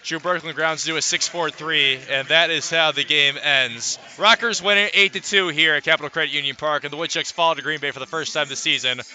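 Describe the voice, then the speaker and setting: A man giving live radio play-by-play of a baseball game, calling it in measured, deliberate phrases with pauses. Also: fairly high pitch, bright, forceful tone. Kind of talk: live radio sports commentary.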